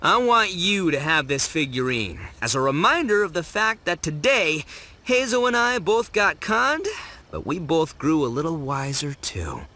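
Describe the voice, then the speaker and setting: Loud, swooping young gang boss. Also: male voice, a little high, big pitch swings, measured pace, full character voice. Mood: upbeat, sincere. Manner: bombastic, slangy, exclamatory. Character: brash, dim but big-hearted young gang boss. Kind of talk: animated video game voice acting.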